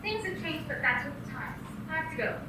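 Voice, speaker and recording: A woman's voice: high-pitched, lively intonation, brisk, noisy background. A woman playing Mary, a wary young librarian who turns frightened, recorded from far off in an echoey hall. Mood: guarded. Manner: guarded, then screaming in fear.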